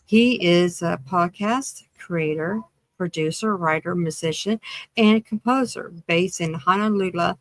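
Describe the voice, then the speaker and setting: A woman's voice: lowish voice, measured pace, no echo. A woman hosting a podcast interview, introducing her guest and putting questions, sometimes stumbling as she reads. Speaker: podcast host.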